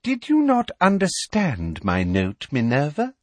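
Male narrator playing a honeyed, simpering woman official, higher voice, husky edge. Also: huge pitch swings, measured pace, no room sound, exaggerated voice. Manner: sugary, simpering, mock-polite. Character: simpering, falsely sweet female official.

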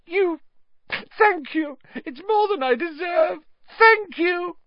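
A man voicing a cringing, cowardly male traitor in a high, whining, tearful, gasping voice, pleading desperately in an audiobook story. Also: expressive, takes it slowly, dry recording, dull audio, full character voice. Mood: grovelling gratitude. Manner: grovelling, repetitive, desperate pleading.

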